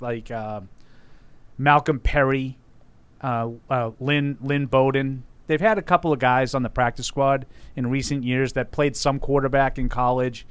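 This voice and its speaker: Man, boston accent, sports radio co-host, firm opinions, mid-pitch voice. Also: fairly bright tone, smooth, no echo. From Boston area. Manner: firm, argumentative analysis. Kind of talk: casual sports radio chat.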